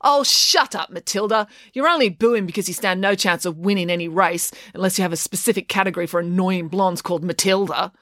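A woman's voice: lowish voice, fast talker, hardly any pauses, no echo, studio quality, natural voice. A woman voicing an angry, combative teenage schoolgirl who talks in scornful, sarcastic retorts in an audiobook.

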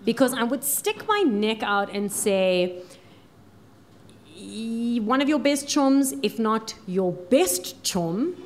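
Woman, podcast host, bubbly, rambling, slightly high voice. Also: very animated, slow. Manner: bubbly, laughs often, rambling. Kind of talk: casual chat.

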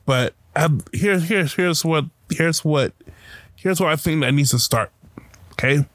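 Halting man recounting a protest. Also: fairly high pitch, dry recording. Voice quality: loud voice. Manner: halting, many fillers and restarts. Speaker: protester telling his story. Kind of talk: casual spoken storytelling.

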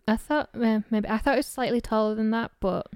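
A woman with a softened Yorkshire accent co-hosts a true-crime podcast, blunt and dry with a teasing edge. Her voice is smooth and clean. It is recorded close and dry, with no room sound. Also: a little high, fairly quick, no room sound. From Yorkshire, England. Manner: blunt, dry and joking, with casual swearing. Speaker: podcast co-host. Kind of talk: casual true-crime chat.

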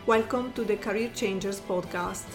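A woman hosting a podcast, reading a scripted introduction and asking her guest questions in an upbeat, clear way. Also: mid-range voice, normal speed, music in the background. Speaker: podcast host.

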